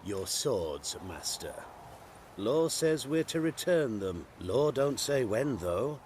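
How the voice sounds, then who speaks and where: Slow, thuggish guard. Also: male voice, mid-range voice, very animated, a little mellow, slight background noise. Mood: mocking menace. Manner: slow, rough colloquial speech. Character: menacing rough common male guard, mockingly polite bully.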